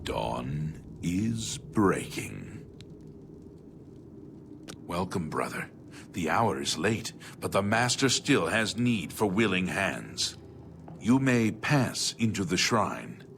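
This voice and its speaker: An actor playing a solemn, devout cult doorkeeper in a video game, speaking in a formal, portentous manner. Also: male voice, low-pitched, sing-song, takes it slowly, creaky, fairly bright tone, slight background noise, full character voice. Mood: solemn, urgent. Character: solemn male cult doorkeeper, devout servant of a dark lord. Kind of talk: video game character acting.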